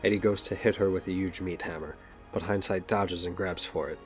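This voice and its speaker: A man's voice: sits low, fairly even intonation, fairly quick, faint music behind. A man narrating a scripted audio drama, telling the action as a storyteller.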